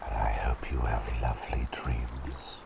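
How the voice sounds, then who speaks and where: A man voicing a kindly, silly talking bird doctor in a whispered ASMR roleplay, speaking hesitantly and politely and softly repeating his phrases. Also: steady tone, measured pace, heavy background noise, full character voice. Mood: soothing. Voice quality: breathy whisper with creaky low voiced moments.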